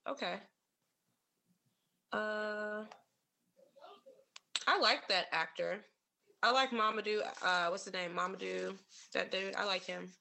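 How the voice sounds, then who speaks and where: Woman co-host with a bright, forceful voice, relaxed and joking, slightly buzzed, says um a lot. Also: medium pitch, slow pace, vocal fry, long pauses, slight background noise. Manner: teasing, laughs easily, many ums. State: a little tipsy. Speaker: podcast co-host. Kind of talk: casual chat.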